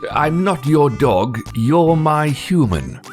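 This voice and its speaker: A man's voice: on the high side, big pitch swings, slow, a little mellow, faint music behind. A man voicing a sardonic, fussy pet dog in a cartoon, with a dry, wisecracking manner. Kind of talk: animated comedy dialogue.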